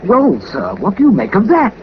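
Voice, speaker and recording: High-voiced, fussy sidekick man. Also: wildly swooping pitch, moderate pace. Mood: astonished. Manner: prim, pompous, theatrical. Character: fussy, loyal male sidekick, formal and easily astonished. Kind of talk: 1940s radio drama acting. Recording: muffled old radio recording, faint hiss.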